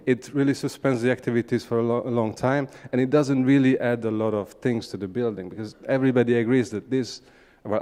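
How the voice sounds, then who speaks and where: Male conference speaker, Hungarian accent, clean smooth voice at medium pitch, measured hesitant phrasing, long hall reverb. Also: mellow. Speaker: conference speaker, urban regeneration practitioner. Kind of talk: conference presentation, semi-spontaneous. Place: large indoor conference hall.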